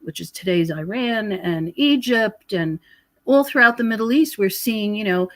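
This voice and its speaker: A woman's voice: mid-range voice, animated, normal speed, fairly bright tone. A woman speaking as an interview guest, explaining her research in a conversational way.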